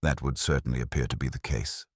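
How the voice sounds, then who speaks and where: A man voicing a gentle, shrewd police inspector who questions politely in a mystery story. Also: very deep voice, steady tone, quick pace, breathy edge, no echo, studio quality. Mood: gently probing. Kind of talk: scripted mystery story dialogue.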